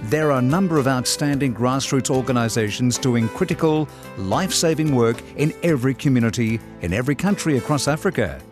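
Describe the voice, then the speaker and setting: A man co-hosting a podcast, presenting in a measured, polite, scripted way. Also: mid-range voice, expressive, music in the background. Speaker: podcast co-host. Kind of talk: scripted podcast introduction and interview.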